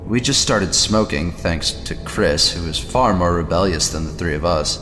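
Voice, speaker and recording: Tired cynical man telling his boyhood horror story, really low voice, faint music behind. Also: expressive, normal speed. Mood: weary confiding. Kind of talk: scripted first-person audio horror narration. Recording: long reverb, music behind.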